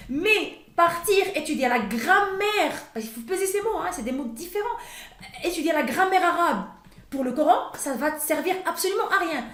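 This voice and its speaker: Woman, Arabic native speaker, high voice, hesitant, many restarts, echoey room. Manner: hesitant, many fillers and restarts, laughs often. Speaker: Quran teacher, guest. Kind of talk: casual interview talk.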